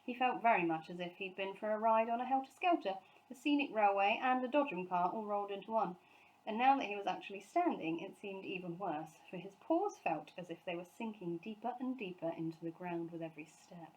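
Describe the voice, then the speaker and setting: A woman reading a children's story aloud as scripted, expressive narration. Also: medium pitch, a little fast, dull audio. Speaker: story reader, teacher.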